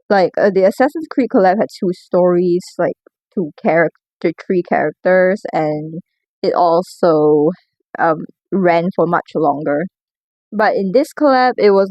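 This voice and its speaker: A woman's voice: medium pitch, darkish tone, dry recording. A woman talking casually in a rambling, informal audio log, self-deprecating and swearing freely as she gives her opinions. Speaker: video creator giving commentary.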